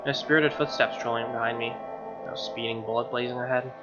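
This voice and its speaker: A man's voice: mid-range voice, steady tone, brisk. A man reading a visual novel aloud as a let's player, narrating the story and tossing in casual reactions. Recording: dull lo-fi mic under loud music. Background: loud game music.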